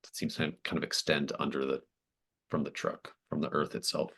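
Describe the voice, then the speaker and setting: A game master with an extremely low voice narrates a tabletop roleplaying scene. The descriptions are improvised and thoughtful, arriving in halting phrases as each image is put together. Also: level tone, vocal fry, a bit bright, slightly rough.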